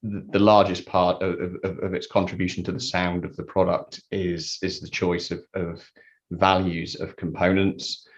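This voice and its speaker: A man giving a conversational technical explanation as an interview guest, halting and repeating words as he thinks. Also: low-pitched, level tone. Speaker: interview guest, loudspeaker designer. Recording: dull lo-fi sound.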